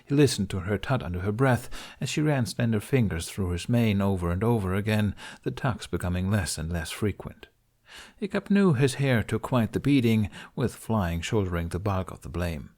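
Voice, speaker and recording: A male audiobook narrator with a fairly low voice. He reads scripted fantasy fiction as a storyteller. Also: a little mellow.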